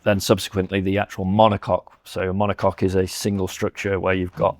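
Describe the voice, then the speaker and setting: A man's voice: sits low, completely flat delivery, fast talker. A man giving a step-by-step technical explanation as a company representative presenting audio products.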